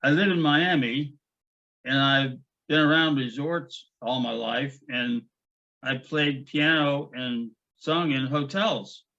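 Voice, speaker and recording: A man's voice: mid-range voice, takes it slowly, bright, forceful tone. A man voicing a slightly insolent eighteen-year-old piano player. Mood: cheeky. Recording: dull lo-fi recording.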